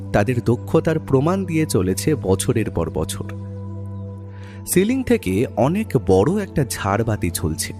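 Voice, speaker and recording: A man narrating a scripted detective audio story in a deliberate, descriptive storytelling manner. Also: medium pitch, darkish tone.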